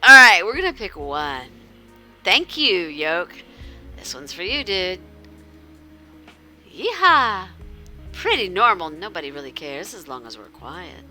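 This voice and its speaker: Female streamer narrating a story game: low-pitched, slow-paced, playful and theatrical. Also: faint music behind. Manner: animated, theatrical, drops into whispers. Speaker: livestream host reading a visual novel with chat. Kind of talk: playful livestream chat mixed with story narration.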